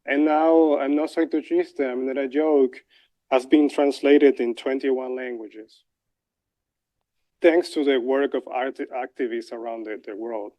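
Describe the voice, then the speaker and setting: Venezuelan-accented young man, Spanish native, lecture storyteller, halting and mid-pitched, plain delivery. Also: fairly even intonation, warm tone, low-quality recording. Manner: halting, frequent fillers and restarts.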